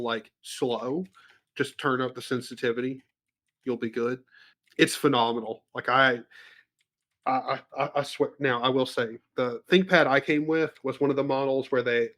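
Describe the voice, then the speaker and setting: A man's voice: mid-range voice, a bit bright. A man co-hosting a podcast, talking eagerly and casually with many fillers and repeated words. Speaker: podcast co-host. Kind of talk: casual tech chat.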